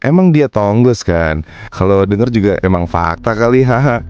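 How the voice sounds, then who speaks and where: A man's voice: lowish voice, animated, normal speed, a little mellow, smooth, no room sound, highs cut off, close to an everyday voice. A man voicing a cocky, mocking teenage schoolboy in slangy cartoon banter. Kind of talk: casual slangy banter in animated drama.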